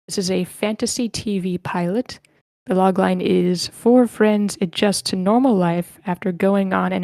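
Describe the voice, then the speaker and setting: A woman with a medium-pitched voice hosts an online script reading, recorded dry and close. She is polite and informal, thinking aloud as she moves things along. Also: darkish tone, no room sound. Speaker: host of online table read. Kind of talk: casual hosting of a group meeting. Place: online voice meeting.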